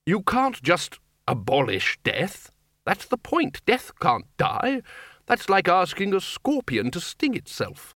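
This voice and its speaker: A man's voice: high-pitched, huge pitch swings, slow, pushed and bright, dry recording, clean studio sound, voice pushed up, full character voice. Man acting a blustering, impatient old-school wizard chief. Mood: affronted. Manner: snapping, repeating and stumbling over his words. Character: brusque, blustering, impatient male wizard Arch-Chancellor. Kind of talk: comic fantasy audiobook dialogue.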